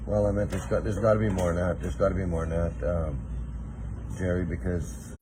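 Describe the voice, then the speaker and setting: A Canadian man with a very low voice talks casually, rambling through local memories and checking in with "eh". He sounds like an informal interviewer in a relaxed chat. The recording has clear noise with vehicle rumble. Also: fairly quick. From northern British Columbia, Canada. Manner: rambling, tags questions with "eh".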